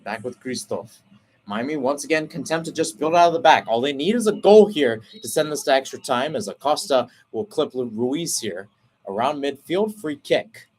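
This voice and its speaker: A man doing radio play-by-play for a soccer match, talking steadily through the passing and breaking off to explain tactics, then jumping up in pitch when a chance opens. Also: animated, a little mellow, husky. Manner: brisk flowing call, rising sharply on chances; self-correcting, explains tactics between plays. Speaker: play-by-play commentator.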